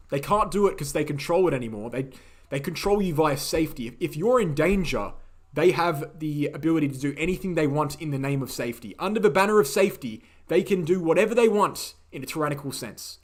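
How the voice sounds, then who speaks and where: Opinionated man hosting a live Q&A. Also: fairly high pitch. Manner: opinionated, repeats key phrases for emphasis. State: slightly croaky voice. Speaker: host answering viewer questions. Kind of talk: casual livestream Q&A.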